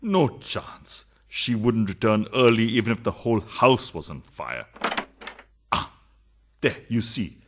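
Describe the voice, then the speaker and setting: A man acting an impatient philandering husband in a radio farce, cajoling like a smooth talker. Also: fairly low pitch, big pitch swings, unhurried, noisy background. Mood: dismissive. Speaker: radio play actor. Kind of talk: farcical radio play acting.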